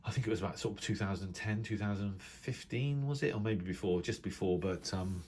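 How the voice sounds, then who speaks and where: Low-voiced man rambling through casual commentary. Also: brisk, darkish tone, breathy edge. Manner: rambling, self-correcting explanation, thinking aloud. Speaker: radio hobbyist vlogger. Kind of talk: casual informal commentary, reviewing a reference book.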